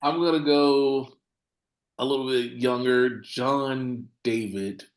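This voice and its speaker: Male dentist guest, medium voice, measured and thoughtful. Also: medium pitch, steady tone. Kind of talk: casual panel chat.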